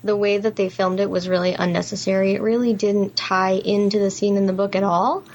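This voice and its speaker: A woman's voice: medium pitch, flat delivery, brisk, darkish tone. A woman co-hosting a podcast, discussing a film casually and giving her opinions plainly. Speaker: podcast co-host.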